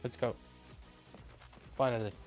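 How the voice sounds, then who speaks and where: Unhurried man casually commentating a game. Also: a little mellow. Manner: offhand muttered reactions, hums to self. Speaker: gaming streamer. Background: game music.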